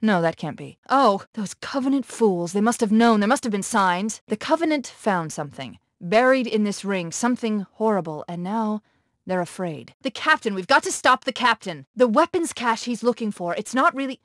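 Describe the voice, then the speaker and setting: A woman voicing a quick-witted, analytical military artificial intelligence in a video game, with a crisp, authoritative manner. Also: mid-range voice, animated, no room sound, clean studio sound, natural voice. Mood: awed, then alarmed. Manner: crisp, precise, authoritative briefing.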